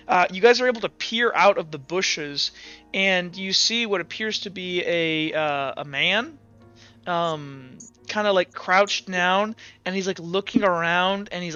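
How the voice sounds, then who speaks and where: A man narrating a tabletop role-playing game as game master, improvising in a casual, halting way. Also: high-pitched, pushed and bright, clean tone, lo-fi sound. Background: faint music.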